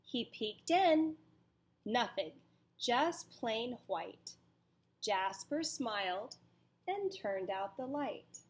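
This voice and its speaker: Slow, high-voiced woman reading a storybook. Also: sing-song, slight background noise. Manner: deliberate, dramatic storytelling delivery. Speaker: teacher reading aloud to children. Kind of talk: expressive picture-book read-aloud with questions to children.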